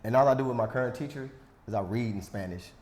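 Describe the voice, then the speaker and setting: A man's voice: mid-range voice, fast, a little mellow. A man hosting a podcast, chatting casually in an easygoing way with joking, self-deprecating asides. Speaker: podcast host. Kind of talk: casual interview chat. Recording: echoey room.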